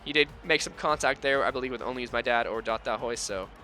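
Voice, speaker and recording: A man commentates a sim race with an upbeat, folksy energy. His voice is bright and a little sore from talking, and faint music plays underneath. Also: mid-range voice, quick pace.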